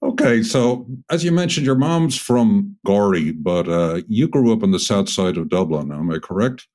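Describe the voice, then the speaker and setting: Man, podcast host, very low pitch, warm mellow tone, measured questions. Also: very animated. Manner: measured, checks facts with the guest. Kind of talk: interview questions.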